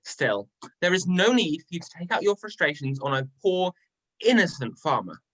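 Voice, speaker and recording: A smug, teasing trickster god acted by an amateur reader, with a lively voice that swings up and down. He is playful and mocking in a staged myth reading. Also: mid-range voice, normal speed, poor audio. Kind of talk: amateur dramatic play reading.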